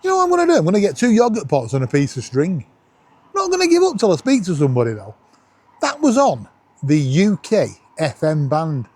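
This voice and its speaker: A man's voice: sits high, a little fast, a little hiss or hum. A man with a Northern English accent chatting casually as a vlog host, joking and poking fun at himself. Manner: jokey, animated, self-deprecating. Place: outdoors at night on a seafront promenade. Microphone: camera worn around the neck.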